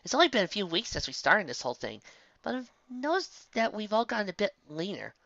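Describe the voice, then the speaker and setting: Woman playing an earnest young mare, friendly, animated, bright voice, storybook fantasy. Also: mid-range voice, brisk, gravelly, dry recording, muffled recording, pitched above the performer's own voice.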